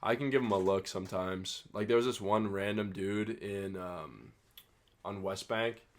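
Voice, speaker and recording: A man's voice: fairly low pitch, a little slow. Laid-back young man saying "bro". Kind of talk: casual chat.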